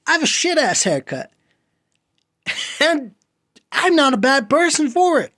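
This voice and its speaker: Slow, whiny-voiced man preaching earnestly. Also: very high-pitched, sing-song, sharp tone. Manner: earnest, preachy, pleading with listeners. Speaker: podcast host. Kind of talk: impassioned solo monologue.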